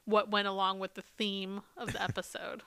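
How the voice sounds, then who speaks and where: A woman's voice: mid-range voice, completely flat delivery, moderate pace, sharp tone, smooth, no echo. A woman co-hosting a podcast in casual chat. She is losing her voice, swears freely and laughs often. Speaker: podcast co-host.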